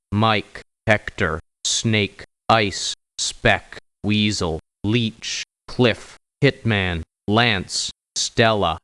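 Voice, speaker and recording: A man playing a proud, wry hired mercenary in a video game, with short, deliberate lines. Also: lowish voice, a bit bright, no room sound. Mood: matter-of-fact. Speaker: mercenary character in a strategy game.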